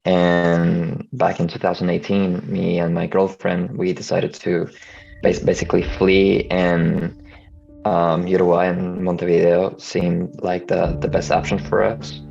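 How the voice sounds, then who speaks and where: A man speaks in a low, gravelly voice, hesitating and restarting his phrases as he talks over a video call. The audio is dull and lo-fi, with soft music underneath. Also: flat delivery, unhurried. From Venezuela. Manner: hesitant, frequent restarts and stammers. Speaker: video editor and freelancer. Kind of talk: casual video call conversation.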